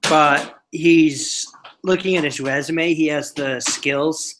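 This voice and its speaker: A man's voice: on the high side, fairly even intonation, takes it slowly, fairly bright tone, slight background noise. A man chatting casually on a video call with a study partner, laid-back and easily distracted, cracking jokes before getting to coursework.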